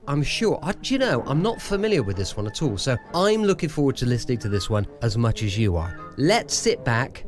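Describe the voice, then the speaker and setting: A man's voice: mid-range voice, big pitch swings, a little fast, few pauses, faint music behind. A man hosting an old-time radio show in a chatty, rambling style from a home studio. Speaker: host.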